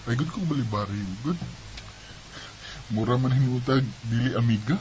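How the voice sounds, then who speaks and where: A man's voice: mid-range voice, moderate pace, a little mellow, a little hiss or hum, natural voice, low-quality recording. A man playing an eager, smitten young student in a Bisaya Filipino radio romance, talking casually and coaxingly. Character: eager, smitten young male student courting his friend.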